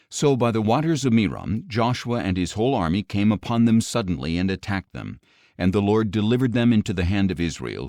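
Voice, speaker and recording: A man narrating scripture as a scripted audiobook, reading in a measured, solemn manner. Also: low-pitched, darkish tone, dry recording, clean studio sound.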